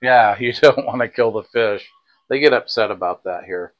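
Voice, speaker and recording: A man's voice: on the low side, normal speed, lo-fi sound. A man in his sixties chatting casually as he gives practical tips on a vlog, recorded indoors on a clip-on mic. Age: 60s. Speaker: vlog co-host. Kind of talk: casual chat giving packing tips. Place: indoors in a small home kitchen and bedroom. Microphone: clip-on lapel mic.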